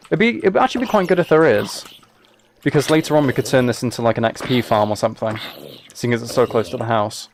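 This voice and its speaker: A man with a mid-pitched voice chats casually as he plays a game on a livestream. He rambles along, thinking aloud in an understated way. He speaks into a microphone set up in front of him. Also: slight background noise. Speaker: live streamer playing a video game. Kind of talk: casual gameplay livestream chat.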